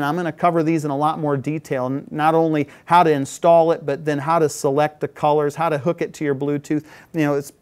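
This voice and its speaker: A man's voice: fairly high pitch, a little mellow. A man in his forties, a shop tech, giving a casual product demo in a parts shop, upbeat with lots of "you know" fillers. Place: shop set with shelves of parts.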